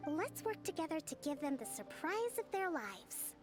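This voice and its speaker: A woman voicing a composed young priestess and strategist in a video game, polite and measured. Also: high voice, very animated. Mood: calm. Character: young female priestess and calm grand strategist. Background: faint game music.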